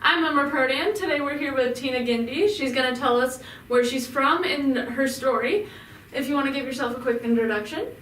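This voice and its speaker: Chatty woman podcast host, mid-pitched, in an echoey room, away from the mic. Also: a little fast, a bit bright, distant mic, slight background noise. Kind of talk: podcast interviewing.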